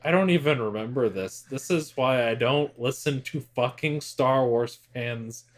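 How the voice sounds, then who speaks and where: A man's voice: mid-range voice, unhurried. A man co-hosting a casual pop-culture podcast, speaking informally and bluntly in loosely worded opinions with some swearing. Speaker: podcast co-host.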